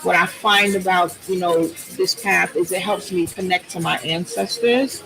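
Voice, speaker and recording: A woman guest with a loud, strong voice, talking earnestly and thoughtfully with frequent ums in a huge, loud space. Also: lowish voice, steady tone, measured pace, gravelly, music in the background, low-quality recording. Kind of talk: reflective personal conversation.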